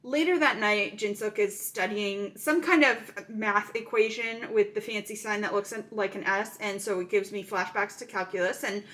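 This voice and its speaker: A woman's voice: mid-range voice, fairly even intonation, moderate pace, hardly any pauses, a bit bright. A woman casually recapping a thriller movie plot, chatty and full of "um" fillers.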